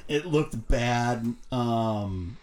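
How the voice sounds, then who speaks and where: A man's voice: medium pitch, drawn-out words, a little mellow. A man giving casual, blunt and critical film opinions as a podcast panelist.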